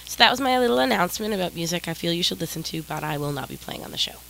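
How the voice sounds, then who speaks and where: A woman with a low voice hosts a music show and chats in a loose, rambling way. Her nose is stuffed up from a cold. Her home mic crackles with static over a faint hum. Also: lively intonation, very fast, gravelly, fairly bright tone. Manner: rambling, apologetic, self-deprecating. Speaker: radio DJ host. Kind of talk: casual music show chat.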